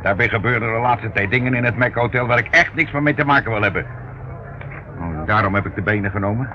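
Actor as a whining small-time crook, muffled recording. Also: male voice, lowish voice, quick pace, lightly acted. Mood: evasive, confessing. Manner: protesting, pleading, hesitant. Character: small evasive henpecked crook, whining and indignant. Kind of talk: radio play acting. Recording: dull, faintly noisy old radio recording.

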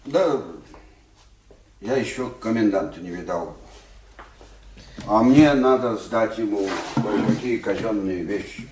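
An actor playing a proud, hurt old army staff captain in a radio play, gruff, brusque and bitter. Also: male voice, lowish voice, slow, long pauses, a little mellow. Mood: sullen. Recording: noisy old radio drama recording.

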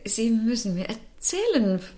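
A woman's voice: fairly high pitch, sing-song, a little slow, mellow. An actress playing a shaken, wealthy middle-aged mother in a radio play, stammering and repeating her words. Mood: shaken, flustered.

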